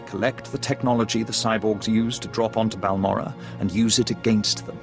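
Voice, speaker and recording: An actor playing a refined, coldly calculating Imperial lieutenant in a video game, precise and smug in delivery. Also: male voice, on the low side, fairly even intonation, a little fast, close to an everyday voice. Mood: gleeful, scheming. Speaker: military officer character. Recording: loud music behind the voice. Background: music.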